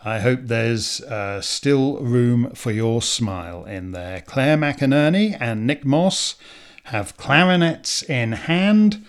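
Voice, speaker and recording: A middle-aged man, hoarse from losing his voice, hosting and introducing a weekly song in a gentle, reflective, sentimental way. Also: mid-range voice, expressive, slow. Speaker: host.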